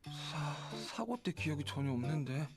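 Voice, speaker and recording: An actor playing a young man who wakes up after a car crash, asking quick, dazed questions. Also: fairly high pitch, animated, vocal fry. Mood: confused. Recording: game audio under music.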